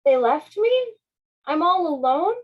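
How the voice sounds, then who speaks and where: A woman's voice: sits high, sing-song, slow pace, highs cut off, pitched above the performer's own voice, full character voice. A woman voicing a small, childlike cloud in a storybook, innocent and stubborn, with a plaintive, wondering delivery. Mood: hurt, upset.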